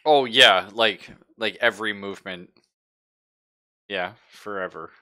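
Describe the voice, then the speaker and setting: A man's voice: fairly low pitch, unhurried, bright, forceful tone. A man casually chatting and narrating as game master of a live-streamed tabletop roleplaying game.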